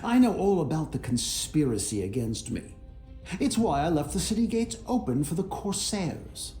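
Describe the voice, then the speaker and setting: Haughty, scheming king, expressive. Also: on the high side, normal speed. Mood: haughty, defiant. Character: proud, scheming king facing his assassin. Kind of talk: fantasy video game acting. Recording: roomy echo, faint music behind.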